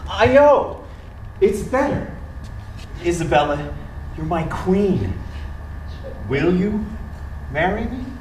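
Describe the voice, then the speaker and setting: An actor playing an earnest, awkward, adoring physicist suitor in a stage play, eager, literal-minded and theatrical. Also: male voice, high voice, very animated, slow, long pauses, darkish tone, heavily acted. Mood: pleading. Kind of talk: live stage play acting. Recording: echoey theatre stage recording, room noise.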